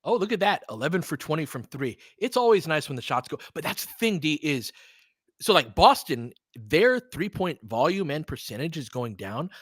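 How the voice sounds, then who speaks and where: A man's voice: fairly high pitch, big pitch swings, moderate pace, fairly bright tone, husky, no echo, clean studio sound. A man hosting a live basketball stream, chatting and commentating casually in a blunt, salty, informal way.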